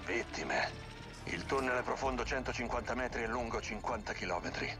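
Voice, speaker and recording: An actor playing a focused, grim special forces soldier in a video game, reporting in urgent, clipped tactical phrases. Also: male voice, medium pitch, normal speed, music in the background, natural voice. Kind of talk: cinematic video game acting.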